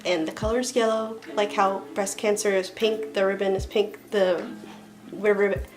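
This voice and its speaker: A young woman in her twenties chats to the camera from a small bedroom, her voice a touch stuffy from a cold. She rambles loosely, full of "like" and restarts, with soft music faint behind her. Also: fairly high pitch. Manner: chatty, rambling, lots of "like" and restarts. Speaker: vlogger. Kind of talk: casual chat to camera. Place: small bedroom with string lights, indoors.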